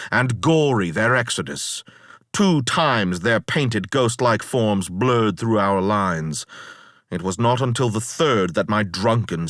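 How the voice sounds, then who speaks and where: A man's voice: on the low side, a bit bright, no echo, clean studio sound. A man reading a first-person epic tale in a formal, solemn, dramatic manner. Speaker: narrator reading a story.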